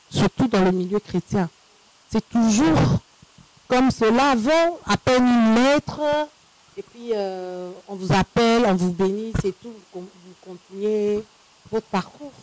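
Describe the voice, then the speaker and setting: Female host of a faith talk show, slow and measured, dry close sound. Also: medium pitch, a little mellow, no echo, poor audio. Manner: slow, measured, with frequent hesitations.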